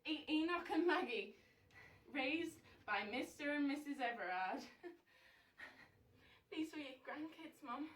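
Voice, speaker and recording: A woman's voice: high voice, lively intonation, slow, long pauses, slight background noise, exaggerated voice. An actress performing a theatre monologue as an unhinged, bereaved wife and mother, erratic and confessional. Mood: wistful, grieving. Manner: erratic, swinging between singsong, shouting and confessing. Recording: echoey room, far from the mic.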